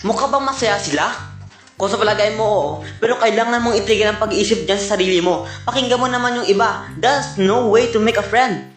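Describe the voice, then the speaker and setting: A man's voice: sits high, fairly quick, over music, lightly acted. A man voicing an earnest, fretful, preachy animatronic bear leader in a cartoon fan dub, recorded in a roomy space.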